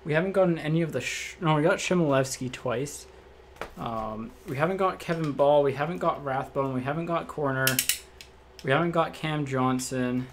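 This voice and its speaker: A man with a slightly raspy voice hosts a card break, reading off each card in a relaxed, matter-of-fact way and thinking out loud. He is recorded indoors at a table by a fixed camera. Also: fairly high pitch, moderate pace, slight background noise. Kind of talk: casual card-opening commentary.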